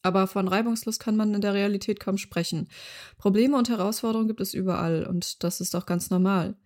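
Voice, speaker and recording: A woman podcast reporter introducing interviewees and reading scripted narration clearly. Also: mid-range voice, steady tone, a little fast, darkish tone.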